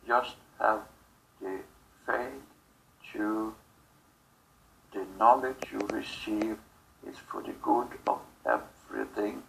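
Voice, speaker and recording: Slow, gravelly man channeling in halting phrases. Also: fairly low pitch, background noise. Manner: halting, fragmented phrases with long pauses. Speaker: spiritual channeler. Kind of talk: channeled spiritual message.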